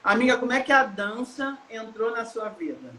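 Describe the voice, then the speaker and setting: A woman hosting a casual interview over a video call, friendly and quick with exclamations. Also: a little high, animated, bright, forceful tone. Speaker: host. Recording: hissy, echoey room.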